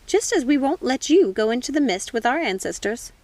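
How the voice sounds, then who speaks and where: A woman voicing a gentle, soothing witch mother in a storybook reading. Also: high voice, big pitch swings, a little fast, no echo, voice pushed up. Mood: tender, protective.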